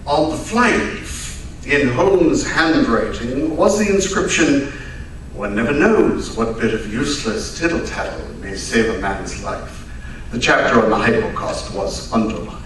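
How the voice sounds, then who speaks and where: A man playing a genial gentleman storyteller, a detective's companion, in measured old-time radio drama narration. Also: medium pitch, lively intonation. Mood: genial, storytelling. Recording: echoey hall from far off, faint noise.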